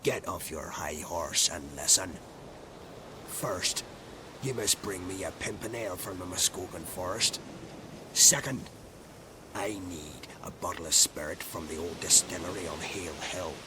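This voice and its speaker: A crotchety old druid from a fantasy game, low in pitch and full of testy condescension. His phrases trail into breathy whispers, and there is clear noise behind him. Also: unhurried. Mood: imperious, condescending. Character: crotchety, haughty old male druid and alchemist. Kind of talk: scripted video game acting.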